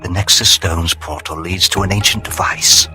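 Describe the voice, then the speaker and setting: An actor playing a gloating, treacherous vampire henchman in a video game, raspy and theatrical, with mocking, formal archaic phrasing. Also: low voice, fairly even intonation, moderate pace, over music, close to an everyday voice. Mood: bitter, defiant. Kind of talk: dramatic video game voice acting.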